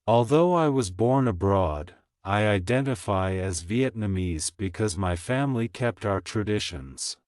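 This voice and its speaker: Low-voiced man reading sentences slowly. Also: clear, resonant tone, no echo, clean studio sound. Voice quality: plain, even reading of each sentence, repeated. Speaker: English teacher reading practice sentences. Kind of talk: slow, clear scripted reading for shadowing practice.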